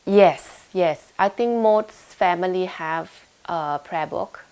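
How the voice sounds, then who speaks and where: A woman's voice: lowish voice, slow pace, a little mellow, clear, resonant tone, low-quality recording. A young woman from Thailand with a Thai accent, answering interview questions with frequent fillers and restarts. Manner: hesitant, many fillers and restarts. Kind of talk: casual oral history interview. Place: home room in London.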